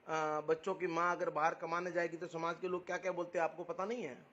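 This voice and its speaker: Muffled higher-pitched Rajasthani man on a call. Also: flat delivery, fast talker, a bit bright, slight background noise. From Rajasthan, India. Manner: insistent, repeats phrases, explaining. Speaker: community activist on a phone call. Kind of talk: argumentative phone conversation. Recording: muffled lo-fi phone call recording.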